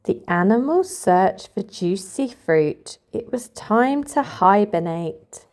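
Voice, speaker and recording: A woman reading a picture book aloud to toddlers in an expressive, sing-song storytelling manner. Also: mid-range voice, takes it slowly. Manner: expressive, sing-song, addressing young children.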